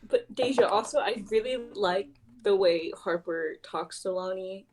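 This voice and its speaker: A woman's voice: medium pitch, measured pace, a crowd in the background, low-quality recording. A woman in her twenties talking casually as one of a group on a livestream. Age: 20s. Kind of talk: casual chat.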